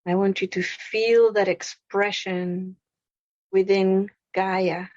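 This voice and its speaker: Female meditation guide. Unhurried, gentle phrasing with long pauses; dry, slightly dull lo-fi recording. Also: medium pitch, little pitch movement, no echo. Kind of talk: guided meditation, spoken slowly.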